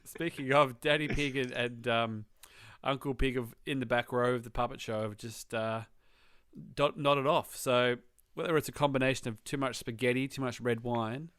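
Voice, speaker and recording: A man's voice: mid-range voice, normal speed, smooth, dry recording. Dry-sounding male podcast co-host. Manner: relaxed, joking, trailing restarts. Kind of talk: casual chat.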